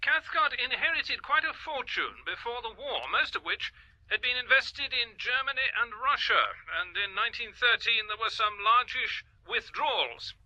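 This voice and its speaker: Earnest, high, bright-voiced British inspector. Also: male voice, lively intonation, moderate pace, dry recording, lo-fi sound, heavily acted. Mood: earnest, reporting. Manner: earnest, hesitant, flustered. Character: earnest, slightly flustered male police inspector reporting by telephone. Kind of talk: British radio drama acting.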